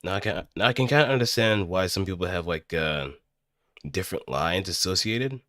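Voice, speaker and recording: A man streaming casually, thinking aloud in a halting, musing way as he works. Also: low voice, lively intonation, sharp tone, no room sound, studio quality.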